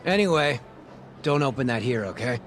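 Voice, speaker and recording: An actor playing a corrupt police detective in a video game, cocky and street-wise, talking in slangy, smug, teasing lines. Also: male voice, medium pitch, animated, normal speed, fairly bright tone, soft background music, close to an everyday voice. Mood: casual. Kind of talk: video game cutscene acting.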